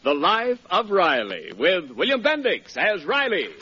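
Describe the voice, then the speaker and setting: A man's voice: high voice, wildly swooping pitch, measured pace. A man announcing and narrating in grand, scripted 1940s radio style. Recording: dull vintage radio recording, husky sound.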